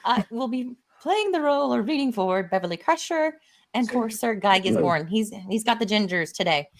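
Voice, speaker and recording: A woman with a slightly high voice hosts a live online table read. She talks casually, as a director briefing the group, and tends to chuckle as she goes. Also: expressive, moderate pace. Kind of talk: casual livestream hosting.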